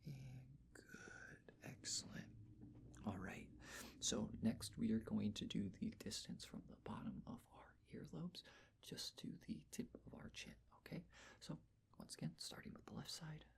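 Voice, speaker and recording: A man speaking in a breathy whisper, gently and reassuringly giving step-by-step instructions in an ASMR personal-attention roleplay. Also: medium pitch, lively intonation, normal speed. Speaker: ASMR roleplayer taking face measurements.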